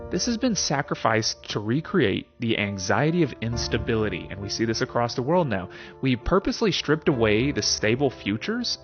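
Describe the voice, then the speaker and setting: A man's voice: mid-range voice, muffled recording. A man hosting a podcast, explaining ideas in a conversational, scripted style with wry casual asides. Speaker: podcast host.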